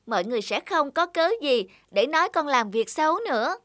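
A woman's voice: sits high, expressive, fairly quick, no echo, studio quality. A woman voicing an earnest, aggrieved young talking apple in a children's cartoon, with plaintive, emphatic delivery. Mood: pleased. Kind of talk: dubbed children's cartoon dialogue. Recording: loud music behind. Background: loud music.

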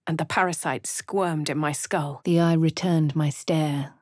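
An actress voicing a posh English woman adventurer in a video game, speaking crisply with a dry, self-assured manner. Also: sits low, fairly even intonation, measured pace, a little mellow, no echo. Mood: wry. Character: posh young woman adventurer, cool and wry. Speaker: player character. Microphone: close studio mic.

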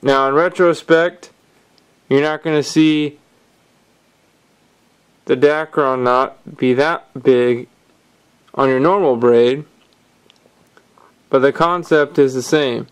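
A man calmly explaining step by step how to tie fishing knots, talking while his hands work at a table indoors. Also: mid-range voice, takes it slowly, long pauses, clear, resonant tone. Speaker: fishing instructor. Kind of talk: hands-on knot-tying tutorial.

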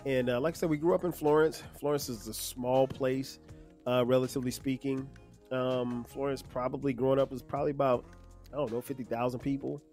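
A man in his fifties from South Carolina, hosting a solo podcast in a relaxed, conversational way with many fillers. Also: mid-range voice, fairly quick, faint music behind. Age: 50s. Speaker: podcast host. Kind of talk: casual solo podcast monologue.

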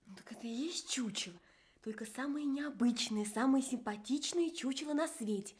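A woman's voice: high-pitched, moderate pace, breathy edge. An actress playing an earnest, kind little girl in a children's radio play. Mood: helpful, explaining.

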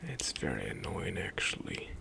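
A young man whispering relaxed commentary as he plays through a game for an ASMR stream, often trailing off mid-thought. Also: sits low, normal speed, vocal fry.

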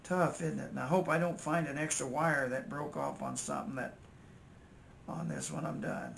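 Older American man, gravelly and creaky. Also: a little high, moderate pace. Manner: folksy, thinking aloud. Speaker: electronics repair hobbyist and video host. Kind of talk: casual muttered narration while working at repairs. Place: indoors at a repair workbench. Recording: steady noise, home-made workbench recording.

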